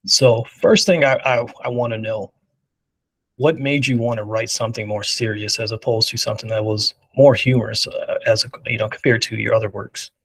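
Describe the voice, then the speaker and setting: A man's voice: fairly low pitch, fairly even intonation, normal speed, a bit bright, low-quality recording. Casual male interviewer. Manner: casual, filler-heavy questions.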